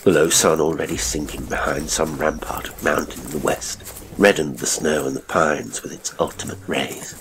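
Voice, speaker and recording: Very deep-voiced man narrating horror, ambient noise behind. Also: steady tone, vocal fry. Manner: suspenseful, deliberate phrasing, grave and theatrical. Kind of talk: dramatic audiobook narration of classic horror.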